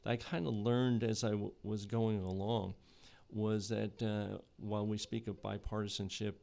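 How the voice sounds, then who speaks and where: Gravelly low-voiced middle-aged man. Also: little pitch movement, mellow. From New Jersey. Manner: measured, with frequent fillers and restarts. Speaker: podcast guest, economic development board chair. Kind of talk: casual interview chat.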